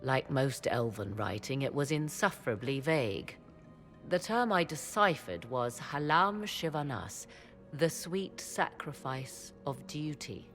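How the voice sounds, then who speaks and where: An actress playing a cool, sardonic female witch scholar who speaks in a measured, dry, aloof way. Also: extremely low pitch, big pitch swings, a bit bright, heavily acted. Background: faint music.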